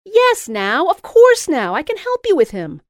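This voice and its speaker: A woman voicing an overexcited female literary agent who shouts in breathless exclamations and repeats her words. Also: very high-pitched, huge pitch swings, measured pace, dry recording, clean studio sound, pitched above the performer's own voice, full character voice. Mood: scheming.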